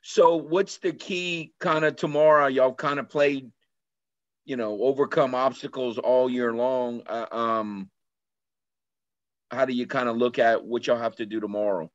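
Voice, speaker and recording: A man's voice: medium pitch, steady tone, a little slow, no room sound, lo-fi sound. A man reporter asking questions at a press conference in a casual way, saying y'all and kinda.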